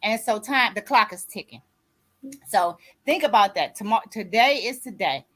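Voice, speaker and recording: A woman's voice: mid-range voice, lively intonation, normal speed, fairly bright tone. A woman in her sixties hosting a conversational radio show, talking in an emphatic, exhorting way and often checking that listeners follow. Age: 60s. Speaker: host and author mentoring co-authors. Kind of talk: motivational conversational radio talk.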